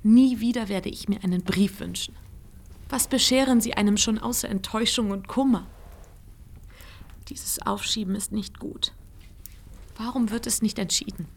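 A woman's voice: medium pitch, moderate pace, gravelly, long pauses. An actress in a German radio play, playing an anguished, principled young woman who speaks intensely, half to herself. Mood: bitter, hurt. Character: Fanny, quietly anguished young woman, principled, secretly lovelorn.

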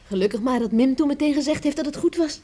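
An actress in a radio play playing a hopeful young farmer's daughter, eager and earnest in manner. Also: female voice, high-pitched, fast talker, warm tone, natural voice. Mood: concerned. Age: young adult. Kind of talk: Dutch radio play acting. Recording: noisy old radio play recording.

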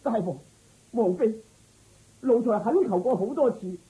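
A woman's voice: a little high, lively intonation, measured pace, warm tone, slightly rough, exaggerated voice, low-quality recording. A woman playing a loyal, humble male groom in a radio drama, deferential and pleading toward royal masters. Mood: pleading, fearful.

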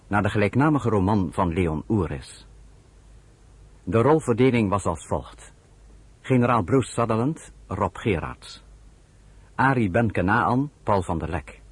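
A man announcing radio drama credits in a formal, deliberate, scripted style. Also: lowish voice, slightly rough, dry recording.

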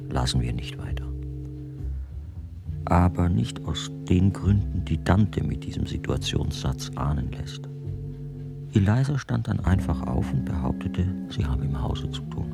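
A man playing a composed captive writer, narrating in the first person for a radio drama in a measured, precise way. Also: a bass voice, creaky, heavily acted. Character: captive male writer recounting his imprisonment, precise, composed.

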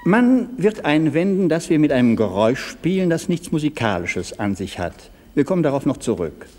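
A man's voice: on the high side, very animated, moderate pace, a little mellow. A man speaking formal, articulate German diction in a scripted radio-essay dialogue, as a questioning partner. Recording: long reverberant hall.

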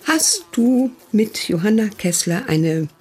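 A woman's voice: medium pitch, very animated, slow, darkish tone. An actress playing an older, protective and prying sister who questions her brother reproachfully in a radio play. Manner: reproachful, probing, insistent.

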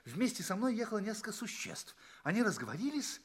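An actor playing an earnest, polite space traveller who recounts his adventures in theatrical audio play narration, with dramatic pauses. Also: high-pitched, sing-song, husky, exaggerated voice. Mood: wry storytelling.

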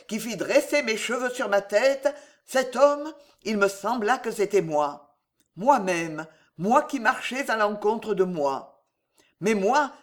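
Old court astrologer, shaken, solemn, halting; audiobook reader's own voice. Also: mid-range voice, lightly acted. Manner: hesitant, grave and solemn. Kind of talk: audiobook character reading.

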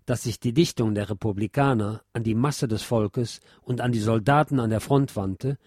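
Measured man reading poetry, dry studio sound. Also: medium pitch, darkish tone, no echo. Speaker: radio host presenting poetry. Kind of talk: scripted lecture with poetry recitation.

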